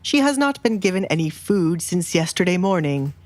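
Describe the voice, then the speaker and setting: A woman's voice: lowish voice, big pitch swings, normal speed, no room sound. Woman voicing a weary, calculating noble father. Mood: reproachful. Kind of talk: audiobook drama acting.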